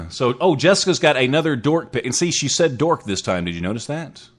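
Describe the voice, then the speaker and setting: Man, forties, casual talk show host, chatty, animated delivery. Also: medium pitch, normal speed. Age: 40s. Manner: chatty, rambling, self-mocking. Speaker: internet radio show host. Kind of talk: casual solo talk show, reading chat.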